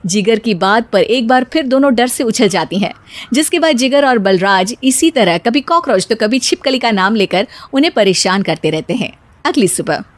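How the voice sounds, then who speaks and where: A woman's voice: a little high, animated, normal speed, dry recording. A woman narrating a scripted cartoon storybook tale as its storyteller.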